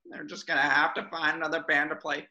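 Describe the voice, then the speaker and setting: A man's voice: a little high, little pitch movement, fast, bright, forceful tone, echoey room, lo-fi sound. A man voicing a gloomy, exasperated squid band leader in a cartoon table read, whiny and melodramatic. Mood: panicked.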